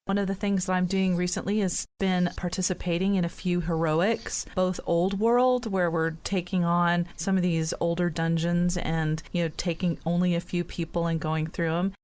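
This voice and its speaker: A woman's voice: on the low side, steady tone, normal speed, hardly any pauses, darkish tone, clean tone. A woman hosting a casual panel podcast and chatting along. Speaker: podcast host.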